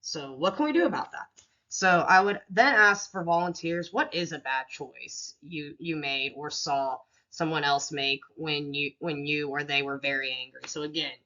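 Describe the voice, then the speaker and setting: Woman, teacher, deep voice, bright tone, casual with fillers, dull lo-fi recording. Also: normal speed. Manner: casual, many fillers and restarts. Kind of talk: presenting a classroom lesson plan.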